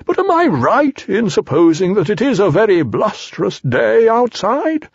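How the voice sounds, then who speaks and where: A man voicing a solemn, long-winded male owl in a storybook, with pompous, formal, drawn-out speech. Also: sits high, sing-song, creaky, breathy edge, dry recording, lo-fi sound, pitched above the performer's own voice, full character voice.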